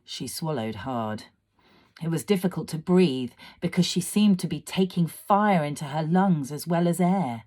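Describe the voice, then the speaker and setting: A woman narrating a story expressively, with a dramatic and deliberate delivery. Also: low-pitched, animated, warm tone.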